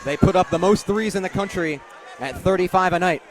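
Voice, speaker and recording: A young man doing animated live radio basketball play-by-play, vividly calling the action and raising his voice on big plays. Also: high voice, fairly quick. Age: young adult. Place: courtside in a noisy basketball arena. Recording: loud arena crowd and bouncing ball. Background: crowd noise, basketball bounces.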